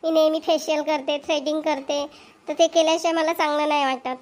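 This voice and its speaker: A spoiled young cartoon wife, acted by a woman, argues in an extremely high, childlike pitch. She sounds whiny and defiant, with a Vidarbha Marathi accent. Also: flat delivery, a little fast, a little mellow, close to an everyday voice. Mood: demanding. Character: spoiled, argumentative young wife, fond of shopping. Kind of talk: amateur cartoon voice acting.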